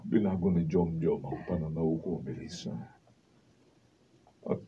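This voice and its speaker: A man's voice: a bass voice, unhurried. An actor with a Filipino accent playing a proud, well-off husband and father who has been humbled, in a radio drama. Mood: remorseful, earnest. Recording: dull, noisy, distant studio camera recording.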